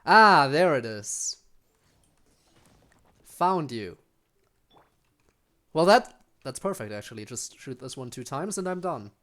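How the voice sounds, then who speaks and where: Native German speaker, young adult man with a German accent, casual streamer, mid-pitched and resonant, full of ums and restarts. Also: very animated, takes it slowly, pauses often, a bit bright. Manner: chatty, answers chat, frequent ums and restarts. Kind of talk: casual live-stream chat while gaming.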